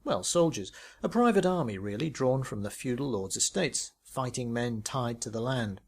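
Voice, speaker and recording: A man's voice: mid-range voice, lively intonation, moderate pace, slightly rough. Man playing a hesitant, bookish man. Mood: musing. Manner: hesitant, musing, pedantic.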